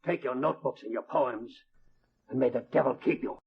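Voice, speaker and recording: A man acting a bitter, wealthy, domineering father in a radio drama, scornful and forceful, with a husky voice. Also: medium pitch, brisk, background noise, natural voice. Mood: cursing, bitter. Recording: muffled old-time radio recording.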